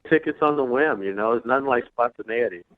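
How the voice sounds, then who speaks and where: A man's voice: medium pitch, fast, crowd noise. A self-assured, boastful man chatting casually as a caller to a radio show over the telephone. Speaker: phone-in guest on a radio show. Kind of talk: casual phone chat. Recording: thin narrowband phone line.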